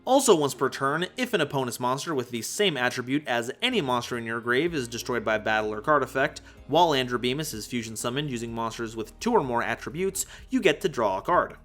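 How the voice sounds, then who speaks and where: A man presenting scripted card game commentary in an animated, playful way, with conversational asides. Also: medium pitch, brisk, few pauses, soft background music. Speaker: host of a card game analysis channel.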